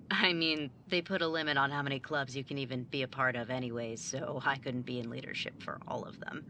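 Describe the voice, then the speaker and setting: A woman playing a driven, overachieving young student and younger sibling in an audio drama, brisk, businesslike and easily exasperated. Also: very deep voice, bright, forceful tone, slight background noise. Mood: awkward, guarded.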